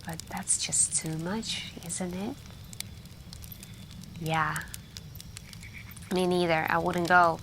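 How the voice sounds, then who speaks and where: A soft-spoken woman telling scary stories in an ASMR style, hushed and intimate with pauses and drifts into whispering. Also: lowish voice. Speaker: ASMR roleplay performer playing a friend telling campfire stories. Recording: steady background ambience noise. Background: crackling campfire and night ambience.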